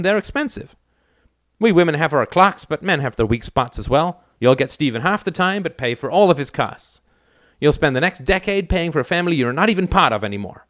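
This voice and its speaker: A man's voice: on the high side, no room sound, clean studio sound, pitched above the performer's own voice, natural voice. A man reading aloud and voicing a blunt, crude, domineering older mother who lectures her son with scorn. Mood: sweetly cutting.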